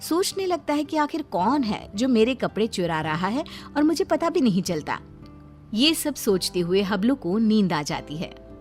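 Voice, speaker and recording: A woman's voice: medium pitch, very animated, unhurried, a little mellow, faint music behind. A woman storyteller reading a scripted tale as narrator for a children's story.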